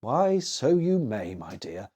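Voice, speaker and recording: A man's voice: medium pitch, very animated, takes it slowly, slightly rough, heavily acted. Man voicing kindly old Mrs Claus, lilting. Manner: fond, gentle, grandmotherly. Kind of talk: children's storybook reading.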